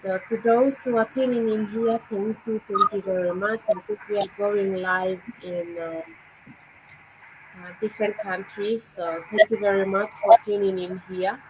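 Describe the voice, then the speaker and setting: A woman's voice: medium pitch, fairly even intonation, moderate pace. Woman hosting a noisy video call. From Papua New Guinea. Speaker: host. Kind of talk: casual live video chat.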